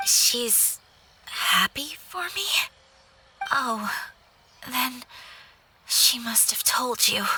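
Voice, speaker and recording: A woman's voice: fairly high pitch, expressive, extremely slow, long pauses, noisy background. A woman voicing a refined, shy young noblewoman who speaks formally and politely in a video game. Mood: shy, hushed. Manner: formal, polite, soft-spoken. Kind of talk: video game cutscene voice acting. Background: game music.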